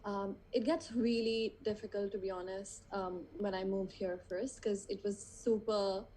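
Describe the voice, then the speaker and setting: A woman's voice: mid-range voice, steady tone, unhurried, warm tone, slight background noise, poor audio. A young woman from India, talking casually and a little hesitantly in a group discussion. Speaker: student participant in a group discussion. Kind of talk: casual conversation.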